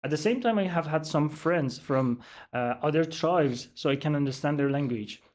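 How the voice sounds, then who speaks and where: A man's voice: on the high side, darkish tone. Persian-accented man explaining his culture. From Iran. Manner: measured, explanatory, frequent "definitely" and "actually". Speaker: guest. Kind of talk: podcast interview, explaining culture.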